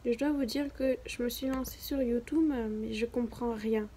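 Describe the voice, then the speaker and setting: Young woman with a southern French accent for a casual home vlog, light and higher voice, rambling and self-mocking. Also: steady tone, normal speed, dark tone, husky. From southern France. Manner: rambling, self-mocking, chatty with many fillers. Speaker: beginner vlogger. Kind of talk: casual chat to camera.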